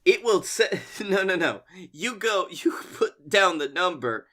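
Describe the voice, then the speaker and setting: A man co-hosting a comedy podcast, telling casual stories in an animated, playfully exaggerated way. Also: high voice, a little slow. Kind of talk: casual comic storytelling.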